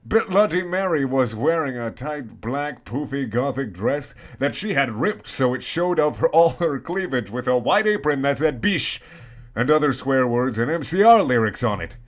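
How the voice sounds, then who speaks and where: A man giving a comedic dramatic reading of a story in a halting, mock-serious way. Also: mid-range voice, expressive. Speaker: reader.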